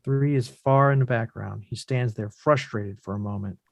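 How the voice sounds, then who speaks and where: Guy reading stage directions at a table read, medium pitch, measured phrases, dry sound. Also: gravelly, no room sound. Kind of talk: scripted narration of screenplay action.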